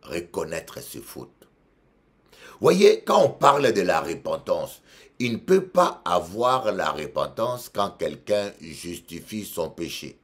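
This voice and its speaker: Congolese-accented male bishop, low voice, emphatic sermon delivery with repeated lines. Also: big pitch swings, slow. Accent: Congolese French. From Democratic Republic of the Congo. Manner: emphatic, swings between loud exhortation and quiet asides, repeats phrases. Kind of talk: preaching sermon.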